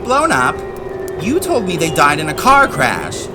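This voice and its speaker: A man plays an earnest young boy in an audio drama. The voice is lifted high and lively, full of bewildered questions, with music underneath. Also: a little slow. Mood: urgent. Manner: questioning, urgent.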